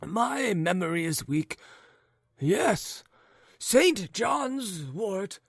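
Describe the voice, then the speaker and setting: A man doing a forgetful, coughing old gentleman. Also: very animated, dry recording, studio quality, heavily acted. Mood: rambling. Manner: high, quavering, drawn-out chant.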